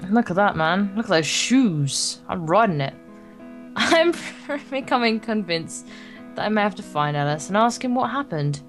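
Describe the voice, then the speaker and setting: A woman's voice: mid-range voice, animated, moderate pace, soft background music. A woman reading a visual novel aloud for a gameplay video, in a playful, theatrical way with crude joking asides.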